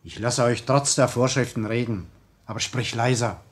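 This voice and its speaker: A medium-pitched male voice acted by a man, a prison guard who is curt and strict yet somewhat lenient. It is played in the manner of a 1950s German radio play. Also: normal speed, lightly acted. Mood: curt, cautioning.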